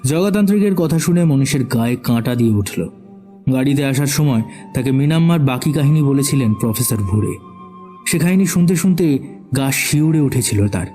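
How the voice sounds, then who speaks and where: A man's voice: medium pitch, lively intonation, normal speed, warm tone. A man giving dramatic scripted narration of a horror story. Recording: background music under the voice.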